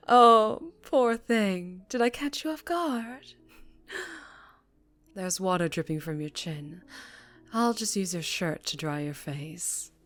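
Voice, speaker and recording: A woman playing a ruthless, teasing mafia boss in an ASMR roleplay, commanding and condescending, often dropping into breathy murmurs. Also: lowish voice, expressive, slow pace, pauses often, a bit bright, soft background music. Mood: teasing, dominant.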